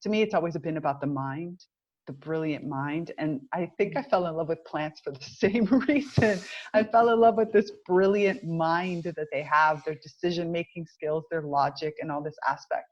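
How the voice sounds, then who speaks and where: A middle-aged Cuban American woman with a Cuban American accent, speaking as an interview guest in a measured, reflective way about spiritual ideas. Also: low voice, darkish tone, dull audio. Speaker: mentor, guest. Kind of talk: interview conversation.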